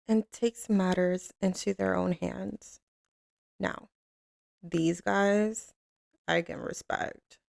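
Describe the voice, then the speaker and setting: A woman playing a tough, commanding mafia boss with a tender side for a lover, in an intimate ASMR roleplay, with a gravelly, creaky voice and a cool drawl. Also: medium pitch, darkish tone, dry recording, clean studio sound. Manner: cool, unhurried, commanding drawl with pet names.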